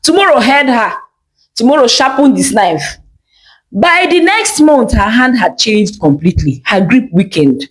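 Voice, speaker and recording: Casting: female storyteller, highly expressive pitch, dramatic and emphatic delivery of scripted folktales. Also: a little slow, poor audio.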